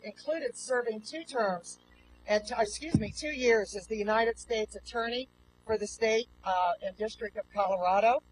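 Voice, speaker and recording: Woman hosting a ceremony, noisy recording. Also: a little high. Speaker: park superintendent, ceremony host. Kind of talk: formal welcome speech introducing guests. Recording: noisy live outdoor event feed.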